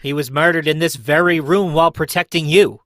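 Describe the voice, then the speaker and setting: A man playing a brisk homicide inspector in an old-time radio drama, speaking firmly and with official urgency. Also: a little high, fairly bright tone, no echo, pitched below the performer's own voice, lightly acted. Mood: firm, urgent.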